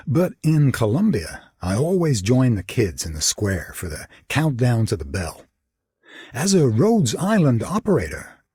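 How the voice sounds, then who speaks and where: An actor playing a wry, kindly, mature metal man in a video game, with a raspy voice. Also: medium pitch, a little mellow, no echo, clean studio sound, exaggerated voice. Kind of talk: video game character voice line.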